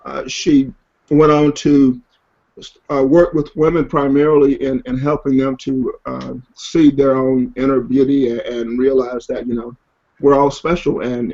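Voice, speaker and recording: Male webinar host, informal and halting, ad-libbed, mid-pitched, on dull online audio. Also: steady tone, creaky, darkish tone. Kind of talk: informal webinar hosting, introducing guests. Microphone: online webinar connection.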